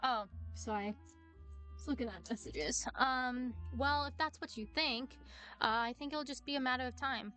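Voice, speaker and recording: Female live streamer, high, bright voice, casual and a bit hesitant, joking reactions to a game. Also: moderate pace, soft background music.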